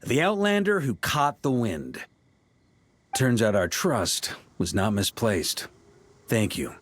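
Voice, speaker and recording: A man playing a seasoned, easygoing knight commander in a video game, reflective and gently teasing, with thoughtful pauses. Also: mid-range voice, big pitch swings, a little hiss or hum. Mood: reflective, earnest. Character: seasoned male knight commander, easygoing, wry, fond of home. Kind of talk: video game dialogue acting.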